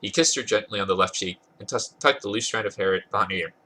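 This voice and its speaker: Congested, husky man reading a story aloud. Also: fairly low pitch, fast talker, pushed and bright. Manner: amused, stumbles over words and corrects himself. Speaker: podcast host reading fan fiction aloud. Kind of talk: dramatic reading of a comic story.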